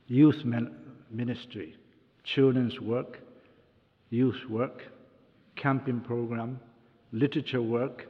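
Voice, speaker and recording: Older male ministry worker, Japanese first language, slow and deliberate, addressing an audience; lo-fi hall recording. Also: medium pitch. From Taiwan. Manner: slow, deliberate, lists things one by one. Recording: dull lo-fi recording with long hall reverb.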